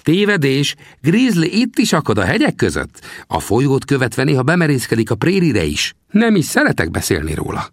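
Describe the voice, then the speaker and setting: A man's voice: mid-range voice, wildly swooping pitch, hardly any pauses, no echo, studio quality, pitched above the performer's own voice. A man voicing a wily, chuckling little old male frontier trapper who talks in a teasing, folksy, boastful way. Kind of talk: audiobook character voice.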